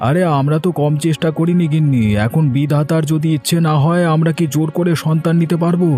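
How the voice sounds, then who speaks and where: A man playing a work-driven, distrustful merchant husband in an animated story, with a brusque, emphatic manner. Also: fairly high pitch, quick pace, faint music behind, natural voice. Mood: grumbling. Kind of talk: animated moral-story voice acting.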